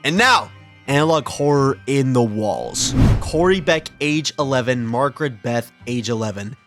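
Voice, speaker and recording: A man hosts a reaction video with loud music running under his voice. He talks casually and slangily, switching between reading text aloud and giving his own exclaimed asides. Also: medium pitch, animated, normal speed.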